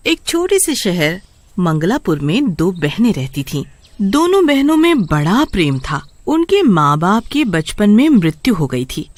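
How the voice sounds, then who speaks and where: Animated woman storyteller. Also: medium pitch, unhurried, dry recording. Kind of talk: scripted story narration.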